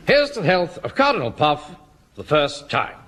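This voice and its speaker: An actor playing a stiff, polite, middle-aged English captain, eager and a little hesitant, in a vintage radio sitcom. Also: male voice, on the high side, noisy background, exaggerated voice. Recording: old radio recording, audience behind.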